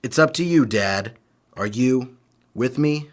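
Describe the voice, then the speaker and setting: An actor playing an earnest grown son in an old-time radio drama. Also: male voice, mid-range voice, takes it slowly, lightly acted. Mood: earnest, anxious. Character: earnest grown son revealing his secret identity.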